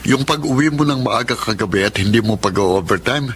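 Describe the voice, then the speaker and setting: A man's voice: on the low side, lively intonation, fairly quick, fairly bright tone, a little hiss or hum. A man playing a guilt-ridden married man in a radio drama, firm and defensive.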